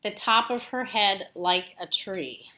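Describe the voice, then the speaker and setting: A woman's voice: mid-range voice, takes it slowly, sharp tone. A woman teacher reading a storybook aloud expressively, with casual asides spoken directly to young listeners.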